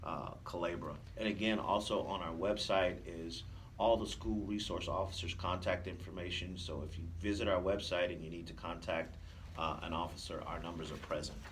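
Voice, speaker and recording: An American man speaking as a police officer guest in a radio interview, explaining things in a measured, conversational way. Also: low-pitched, steady tone, distant mic. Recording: faint noise, off-mic room sound.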